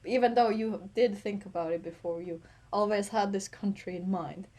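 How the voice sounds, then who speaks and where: A woman from Latvia, co-hosting a podcast in casual chat, relaxed and quick with little asides. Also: medium pitch. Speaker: podcast co-host.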